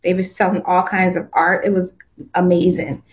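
A woman's voice: lowish voice, little pitch movement, normal speed. A woman in her fifties from Southern California, chatting about her work as a designer in an upbeat way, laughing often and restarting her sentences. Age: 50s. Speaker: guest, swimwear designer. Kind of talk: casual interview chat.

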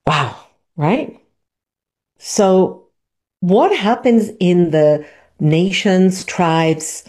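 A woman, hosting a podcast and teaching in an unhurried way, tagging her points with "right?". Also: low-pitched, mellow. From Argentina. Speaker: podcast host. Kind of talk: reflective teaching talk.

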